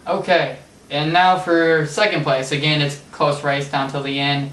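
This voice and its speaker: A man's voice: on the high side, level tone, measured pace, a bit bright. A man a little under the weather, co-hosting a casual sports chat and giving blunt opinions with plenty of fillers. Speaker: podcast co-host. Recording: echoey room, far from the mic.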